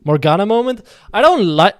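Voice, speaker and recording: A man's voice: sits high, big pitch swings, fairly quick, no echo, clean studio sound. A man from Denmark chatting casually while streaming a game, rambling and answering his viewers' messages. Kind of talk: casual live game commentary.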